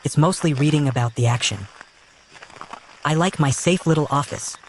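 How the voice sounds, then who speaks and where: A man's voice: on the high side, moderate pace, a little mellow, a little hiss or hum, close to an everyday voice, poor audio. A man acting an easygoing, self-effacing wizard office head in a fan audio drama, with a casual, understated delivery. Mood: light, wry.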